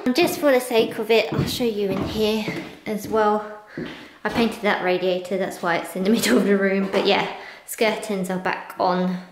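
British woman, thirties, medium pitch, casual vlog chat, rambling, self-correcting, echoey bare-room sound. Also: slight background noise. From United Kingdom. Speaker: home renovation vlogger. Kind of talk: casual chatty vlog to camera. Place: bare rooms of a house under renovation, indoors. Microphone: handheld camera at arm's length. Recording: echoey empty rooms.

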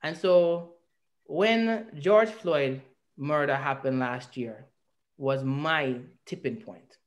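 A man's voice: a little high, slow pace. A man with a Jamaican accent telling his story at length as an interview guest, earnest and reflective, on a video call from a room at home. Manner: earnest, reflective, speaks at length with rising emphasis. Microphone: video call webcam.

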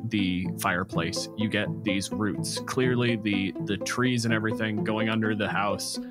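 A man's voice: lowish voice, fairly even intonation, measured pace. A man working as game master, narrating a tabletop role-playing game in a conversational, descriptive way. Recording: guitar music behind the voice. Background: plucked guitar music.

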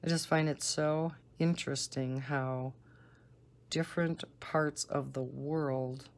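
A woman with a Northern American accent and a low, soft voice, chatting casually and unhurriedly. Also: fairly even intonation. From northern United States. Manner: unhurried, deliberately slow talking. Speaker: coloring video host. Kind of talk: casual rambling chat while coloring.

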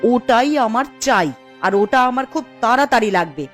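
Casting: actress for emotional cartoon village wife, high voice, emphatic and dramatic, light music behind. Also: female voice, lively intonation, moderate pace, natural voice. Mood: scornful, angry. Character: emotional village wife, by turns scolding and tender. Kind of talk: Bengali fairy-tale cartoon acting.

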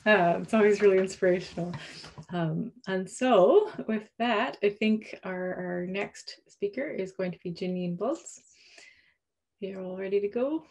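A woman's voice: medium pitch, measured pace. A woman moderating an online panel, effusive in thanking the speaker. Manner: warm, effusive praise.

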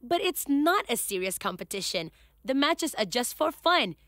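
A woman's voice: fairly high pitch, sing-song, normal speed, fairly bright tone, no room sound. A woman voicing Nelly, a chatty, upbeat cartoon girl, recorded dry in a studio. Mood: explaining. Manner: chatty and upbeat, introduces people. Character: friendly girl Nelly, team member. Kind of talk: cartoon voice acting, dubbed.

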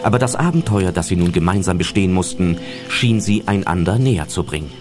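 A male narrator tells a German audio drama from a script. His voice is low and very animated in pitch, laid over background music. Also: a little fast.

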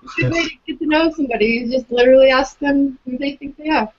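A young woman co-hosting a podcast interview on a video call, asking questions in a relaxed way. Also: high-pitched, fast talker. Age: young adult. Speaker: podcast co-host. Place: small room indoors. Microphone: webcam on video call. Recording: dull lo-fi webcam audio.

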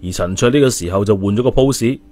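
A man telling a story as an expressive audiobook narrator. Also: fairly low pitch, fast. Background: music.

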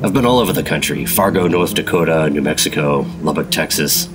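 A gruff 1950s secret agent. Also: male voice, very deep voice, brisk. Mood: brusque. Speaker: Operative-132. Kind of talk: 1950s radio drama acting. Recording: music score underneath.

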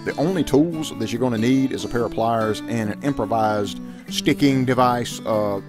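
A middle-aged man giving casual how-to vlog talk, folksy and speaking directly to viewers. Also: fairly low pitch. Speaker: outdoors and shooting vlogger.

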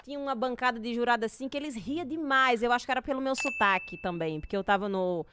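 A woman in her twenties with a drawling way of talking, telling stories as a guest in a small podcast studio on a boom mic, lively, slangy and animated. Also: fast talker, background noise. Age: 20s. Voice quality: drawling speech. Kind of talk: casual storytelling chat. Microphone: studio boom mic with headphones.

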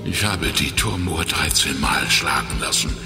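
A man playing a hypnotist who explains a secret plot in halting, deliberate phrases in a children's audio drama. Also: extremely low pitch, gravelly, exaggerated voice. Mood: grave, halting. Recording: echoey hall reverb under music.

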